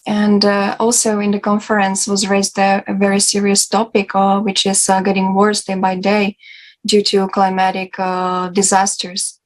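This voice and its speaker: Flat-toned woman hosting a discussion. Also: mid-range voice, moderate pace, poor audio. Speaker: host. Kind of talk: semi-prepared presenting.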